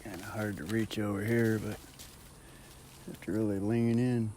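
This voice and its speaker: A man's voice: lowish voice, little pitch movement, measured pace, warm tone. A man rambling casually in a home vlog, with a profane, unfiltered way of talking. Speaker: vlogger. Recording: clearly noisy ambient background.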